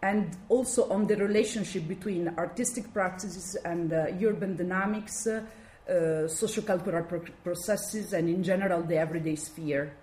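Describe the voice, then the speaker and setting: A woman from Italy speaking with a strong Italian accent, giving an academic talk and often pausing to search for words. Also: fairly low pitch, darkish tone. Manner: halting, searching for words with many fillers. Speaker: guest lecturer. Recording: echoey room, faint background noise.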